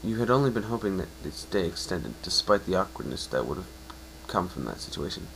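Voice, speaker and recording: A man reading a story aloud in the second person as a dramatic narration, a little haltingly. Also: deep voice, lively intonation, vocal fry, a bit bright, slight background noise, low-quality recording.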